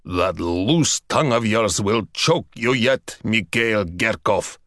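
A man voicing a gruff, domineering older general who growls and snaps at his subordinates. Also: fairly low pitch, animated, takes it slowly, bright, forceful tone, no room sound, studio quality, full character voice. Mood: scolding. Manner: growling, snapping.

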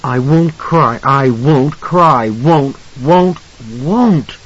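A man voicing a frightened, tearful small boy in an audiobook, pleading and earnest, drawing out his halting words. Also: high-pitched, animated, highs cut off, voice pushed up. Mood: tearful, resisting. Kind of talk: audiobook character acting.

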